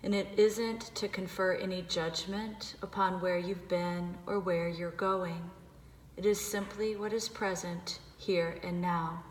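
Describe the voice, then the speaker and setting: A woman leading a guided meditation, giving gentle, unhurried instructions with pauses. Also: fairly low pitch, steady tone. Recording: echoey reverberant room with background noise.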